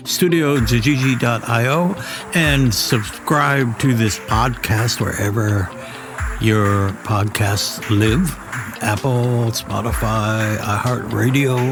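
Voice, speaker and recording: A man with a mid-pitched voice hosts a casual podcast with music underneath. He is middle-aged or older and talks in a loose, conversational ramble, happy to poke fun at himself. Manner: rambling, self-deprecating, conversational. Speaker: podcast host and voice actor.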